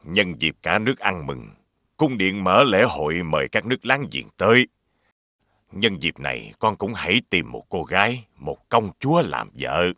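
A stately cartoon king, speaking formally and with authority. His voice is fairly low yet bright and forceful, made for proclamations. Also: very animated, normal speed, no room sound, clean studio sound, full character voice. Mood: stately, concerned. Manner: formal, declamatory. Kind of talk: children's cartoon acting.

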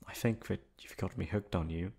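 A man playing a lovestruck young delinquent confessing to his best friend in an intimate ASMR roleplay, tender and halting. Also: sits low, gravelly, a little mellow, breathy edge, exaggerated voice. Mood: smitten, happy.

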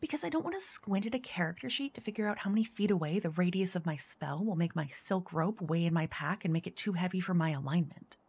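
A woman doing a soft-spoken ASMR roleplay as a flirty dungeon master. She teases, then whispers close into each ear in turn. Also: fairly low pitch, expressive, fast talker, few pauses, slightly rough. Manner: teasing, flirty, switching between whispers and soft speech. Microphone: close binaural mic.

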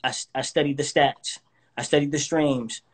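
A man's voice: fairly high pitch, steady tone, normal speed, husky. Confident man in his thirties on a video call. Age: 30s. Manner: confident, preachy, motivational. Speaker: guest artist. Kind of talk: casual interview over live video. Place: indoors, living room with couch.

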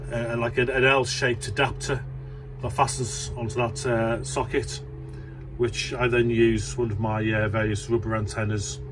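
A middle-aged man casually talking through a hands-on build, thinking aloud as he works in a small indoor workshop. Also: medium pitch. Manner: thinking aloud while working with his hands. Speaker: DIY radio hobbyist vlogger. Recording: clear background noise, room ambience.

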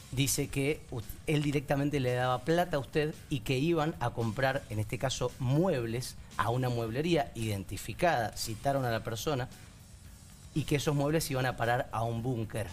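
A man in his thirties with an Argentine accent, interviewing a guest in a TV studio, asking pointed, probing questions and often backing her up with short "ujú" sounds. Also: mid-range voice. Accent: Argentine Spanish.

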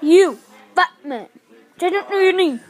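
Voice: extremely high pitch, expressive, measured pace. A child improvising a pirate puppet show, acting out the characters and chanting in a sing-song way.

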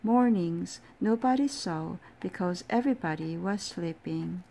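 A woman voicing a gentle, muddled little male owl in a storybook read-aloud, plaintive and earnest. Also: lowish voice, expressive, a little slow, gravelly, full character voice. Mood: sobbing.

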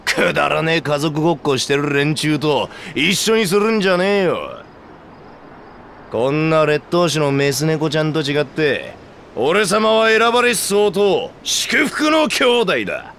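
A man voicing an arrogant, taunting two-headed reptilian warrior in a Japanese video game, with a gravelly, coarse delivery. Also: on the high side, sing-song, measured pace, fairly bright tone, slight background noise, exaggerated voice. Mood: boastful, contemptuous. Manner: taunting, boastful, coarse speech.

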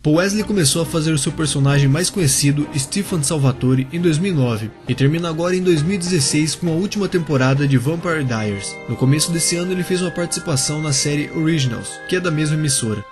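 A man's voice: on the high side, fast talker, few pauses. Casual man narrating over music. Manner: casual, addresses viewers directly. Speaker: YouTube channel host. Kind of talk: scripted biography narration.

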